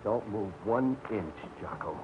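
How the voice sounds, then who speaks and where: A man's voice: lowish voice, animated, a little slow, very noisy. An actor playing a hot-tempered young man holding a gun, tense and threatening, in 1940s radio drama style. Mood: menacing. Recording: muffled vintage radio broadcast.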